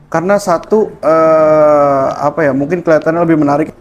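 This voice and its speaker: Cafe chef guy explaining stuff, lots of uhs, slightly high voice, faint hiss behind. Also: brisk, dull audio. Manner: explanatory, relaxed, with frequent hesitant fillers. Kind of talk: casual interview answers.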